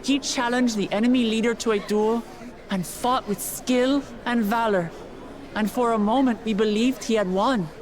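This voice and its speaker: An actress voicing a dignified, resolute young royal woman in a video game, with solemn, measured delivery. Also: fairly high pitch, darkish tone, natural voice, low-quality recording. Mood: solemn grief.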